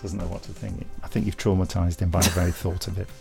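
A man's voice: deep voice, little pitch movement, fast, gravelly, mellow, soft background music. A British man hosting a podcast in casual, teasing chat. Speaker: podcast host.

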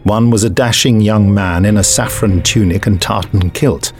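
A man's voice: fairly low pitch, normal speed, soft background music, lightly acted. A man reading dramatic scripted fiction as an audiobook narrator.

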